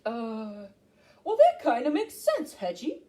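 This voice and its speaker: Figure: friendly farm horse. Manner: blunt, drawn-out. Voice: a woman's, high, slow and lively. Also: full character voice. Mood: teasing.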